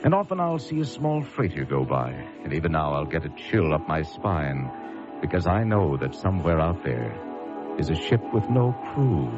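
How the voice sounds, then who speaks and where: An actor playing a haunted former lighthouse keeper, telling a horror story with suspenseful pauses in a radio drama. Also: male voice, very deep voice, big pitch swings. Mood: grim, chilled. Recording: muffled old radio broadcast over loud music.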